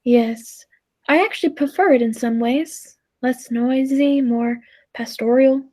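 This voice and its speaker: A woman playing a gentle, contented time traveler who tells the tale in a measured, wistful way, in 1940s radio play style. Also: high-pitched, studio quality. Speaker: amateur drama club actor. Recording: roomy echo with faint music.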